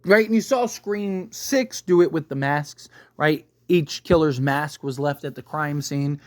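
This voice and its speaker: Higher-voiced man giving casual rambling commentary. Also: measured pace, no echo. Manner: rambling, often tags sentences with "right?". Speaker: YouTube host commenting on movie news. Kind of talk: casual unscripted film speculation commentary.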